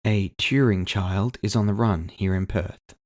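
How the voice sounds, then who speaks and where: A man voicing a brash, sharp-tongued woman with a casual, sardonic, teasing manner in a cyberpunk audio drama. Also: on the low side, steady tone, measured pace, close to an everyday voice. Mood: matter-of-fact. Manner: casual, sardonic, foul-mouthed. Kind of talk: audio fiction character dialogue.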